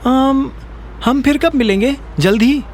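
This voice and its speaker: A man's voice: extremely high pitch, a little slow, darkish tone, slight background noise, voice pushed up. A man voicing a bold, mocking young woman in an audio drama, emphatic and incredulous. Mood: hesitant. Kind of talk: Hindi audio drama character acting.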